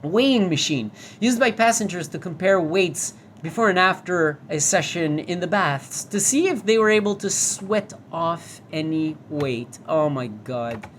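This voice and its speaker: A woman's voice: lowish voice, expressive, measured pace, few pauses, slight background noise. Chatty, foul-mouthed woman gamer. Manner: chatty and profane, reads signs aloud. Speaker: VR game reviewer. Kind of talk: casual gameplay commentary.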